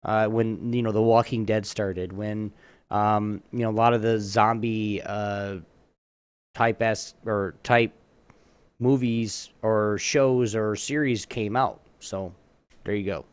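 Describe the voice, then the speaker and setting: A man hosting a trivia game in a casual chat, often halting and restarting his phrases. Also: lowish voice, steady tone, smooth, dry recording. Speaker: podcast host running a trivia game.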